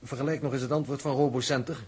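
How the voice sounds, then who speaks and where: A man's voice: mid-range voice, steady tone, rapid-fire, slightly rough. An actor playing a careful, dutiful space crewman and technician in a 1960s science fiction radio drama. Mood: sober, cautious. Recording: very noisy vintage radio recording.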